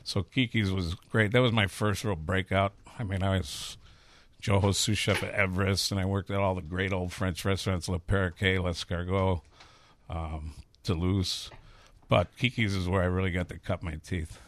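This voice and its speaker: A man's voice: low-pitched, steady tone, normal speed, dry recording. A man in his fifties with a Chicago accent, a chef talking candidly and rambling through stories in a casual interview. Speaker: chef and restaurateur.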